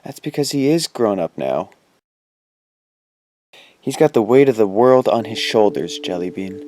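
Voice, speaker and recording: A man's voice: fairly low pitch, lively intonation, a little slow, mellow. A man playing a candid, wry young man, a loyal friend, in an audio drama. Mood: gentle teasing. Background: music underneath.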